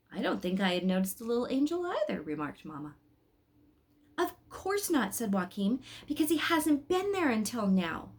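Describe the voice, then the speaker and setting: A woman's voice: lowish voice, a little mellow. A woman hosting a read-aloud, telling a children's story expressively and speaking straight to young listeners. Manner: addresses young listeners directly with questions.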